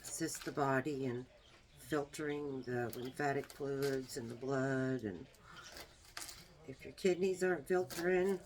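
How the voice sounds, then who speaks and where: Soft little voice, woman chopping herbs and talking real slow, noisy home recording. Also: sits low. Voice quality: small soft voice. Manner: soft-spoken, homey and unhurried, talks while working. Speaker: herbal remedy vlogger. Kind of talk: casual how-to demonstration.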